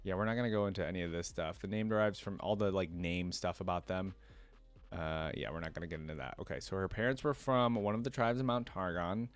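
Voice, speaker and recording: A man's voice: deep voice, fairly even intonation, quick pace, dry recording. A man hosting a casual reaction video, reading reference text aloud and breaking off for informal commentary. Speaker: YouTube reaction host.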